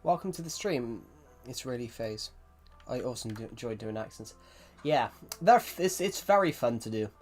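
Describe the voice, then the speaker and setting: A man's voice: mid-range voice, lively intonation, moderate pace, hardly any pauses. A young man from England with a Southwest English accent, chatting casually while streaming a game, rambling, restarting his sentences and reading viewer messages aloud. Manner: rambling, restarts a lot, reads chat aloud. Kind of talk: casual live-stream chat. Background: faint music and keyboard typing.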